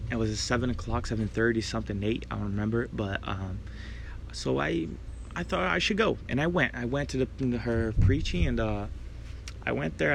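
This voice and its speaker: A man's voice: mid-range voice, vocal fry, slight background noise. A young man, hosting his own faith podcast and sharing a personal testimony in an earnest, grateful, reflective way. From Brazil. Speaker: podcast host and preacher.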